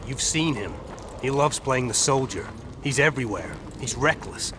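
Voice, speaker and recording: A man's voice: mid-range voice, moderate pace, background noise, lightly acted. A man acting a bold, impatient assassin in a video game, talking in a blunt, sardonic way. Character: gruff male assassin, bold, impatient for action. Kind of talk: dramatic video game dialogue.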